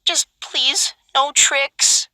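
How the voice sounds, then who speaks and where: A timid, anxious young girl from a video game. Her voice is high and very sharp, in a dry studio recording. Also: fairly even intonation, drawn-out words, no echo, exaggerated voice. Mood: nervous pleading.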